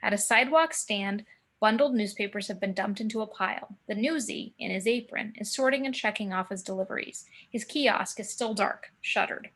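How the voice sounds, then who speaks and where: A woman's voice: mid-range voice, normal speed, fairly bright tone. A woman giving scripted narration of screenplay action and scene directions at a table read. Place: remote video call.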